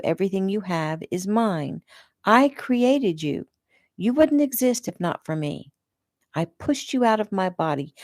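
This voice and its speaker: A woman's voice: mid-range voice, darkish tone, no room sound, clean studio sound, pitched above the performer's own voice. A woman reading the part of a cruel, possessive middle-aged mother with a contemptuous, cutting manner.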